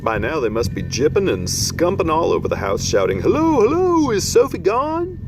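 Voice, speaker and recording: A man's voice: fairly high pitch, normal speed, over music, pitched above the performer's own voice. A man voicing a huge, tender-hearted old male giant who talks with mangled grammar and made-up words. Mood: gentle, fretful. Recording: steady background noise.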